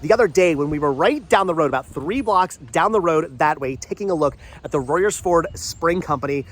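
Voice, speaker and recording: A man with a stuffy, congested voice from a cold, chatting enthusiastically as he walks outdoors on a rainy town street and films himself. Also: sits high. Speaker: vlogger. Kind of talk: walking tour vlog. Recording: light street noise.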